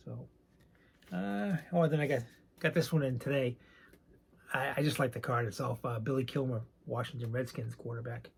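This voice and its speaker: A soft-spoken man with a raspy voice, chatting casually and rambling a bit while showing his collection. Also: medium pitch. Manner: soft-spoken, not loud; rambling, hesitant with many ums. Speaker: card collecting channel host. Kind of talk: casual show-and-tell chat.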